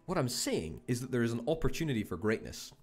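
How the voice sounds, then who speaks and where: A man's voice: medium pitch, big pitch swings, fast, darkish tone. A man hosting a gaming video, chatting casually with wry, self-deprecating remarks. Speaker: gaming channel host. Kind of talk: casual let's-play commentary.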